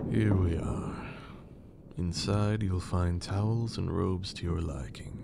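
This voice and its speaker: A man playing a haughty, teasing gentleman vampire in an intimate ASMR roleplay, speaking formally in a breathy half-whisper with condescending endearments. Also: sits low, heavy background noise, full character voice. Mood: haughty, dismissive.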